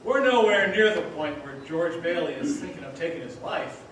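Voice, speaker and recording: A man playing a brisk, authoritative senior angel in a staged radio play. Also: fairly high pitch, animated, a bit bright. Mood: brisk, explanatory. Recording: echoey hall, distant mic, faint noise.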